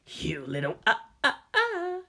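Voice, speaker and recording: A woman's voice: on the high side, wildly swooping pitch, slow, pushed and bright, pitched above the performer's own voice, full character voice. A woman voicing a wary, hostile male spy with a terse, scornful manner. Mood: alarmed. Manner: terse, scornful, hissing through teeth.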